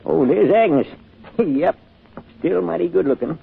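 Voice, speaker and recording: An actor playing a kindly, patient old grandfather's ghost, folksy and unhurried, in an old-time radio drama. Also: fairly high pitch, lively intonation, full character voice. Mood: cheerful, insistent. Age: older. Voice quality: gravelly, husky. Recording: muffled old radio recording.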